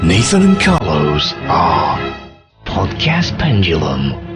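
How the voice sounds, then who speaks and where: A very low-voiced man reads a mock-epic trailer promo over loud music. His delivery is slow and theatrical, with big dramatic swings in pitch.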